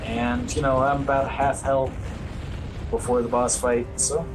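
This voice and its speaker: A man's voice: mid-range voice, level tone, measured pace, music in the background, rough recording. A man giving casual, wry, self-deprecating live commentary as he plays through a video game as a speedrunner. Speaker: speedrunner commentating on own play.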